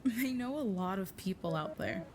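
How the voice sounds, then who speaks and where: A woman's voice: medium pitch, lively intonation, moderate pace, background noise, close to an everyday voice. A woman playing a guarded, bitter secret agent in an audio drama, terse and sardonic. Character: guarded, bitter, curt female covert agent.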